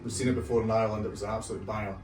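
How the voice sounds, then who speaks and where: A man's voice: on the low side, fairly even intonation, rapid-fire, darkish tone, far from the mic. A man giving upbeat opinions, tagging his lines with "eh". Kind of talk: casual chat. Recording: roomy, off mic, faint background noise.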